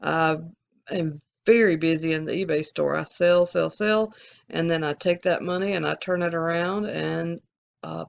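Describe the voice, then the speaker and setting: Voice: mid-range voice, creaky, muffled recording. An adult card-channel host with a Southern Alabama accent, answering viewer questions in a candid, rambling way with restarts. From American South. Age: adult, collecting since the eighties. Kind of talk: casual Q&A to viewers.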